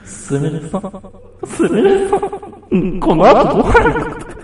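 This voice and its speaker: A man's voice: very high-pitched, wildly swooping pitch, soft background music, voice pushed up, exaggerated voice. Man acting a melodramatic detective in heavy echo. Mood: pleading. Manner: rushed, pleading lines with comic sung snatches. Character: melodramatic male police detective from a film parody. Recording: roomy echo, distant from the mic.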